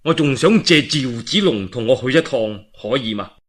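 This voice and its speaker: A male storyteller acts out a righteous, earnest warlord in a Cantonese historical tale. He speaks formally and sincerely, humble yet firm, in a lowered voice with some huskiness. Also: animated, normal speed, husky. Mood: polite request.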